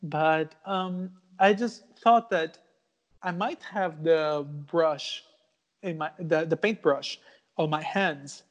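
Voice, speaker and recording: Brazilian man, portuguese speaker, young adult, earnest and thoughtful, unhurried delivery, somewhat high voice. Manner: thoughtful, earnest, full of fillers and restarts. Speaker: guest artist. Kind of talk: casual interview.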